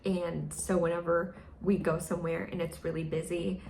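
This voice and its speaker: A woman's voice: flat delivery, normal speed, a little mellow. A young American woman chatting casually in a vlog, full of fillers and playful quoted voices. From United States. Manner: chatty, with many fillers, mimics others in high voices. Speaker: vlogger. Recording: echoey room, faint noise.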